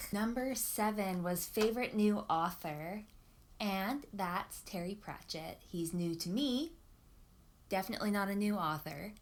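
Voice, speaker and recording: A young woman vlogger chatting casually and enthusiastically, often repeating words for emphasis. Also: lowish voice. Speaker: book vlogger. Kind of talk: casual chat to camera.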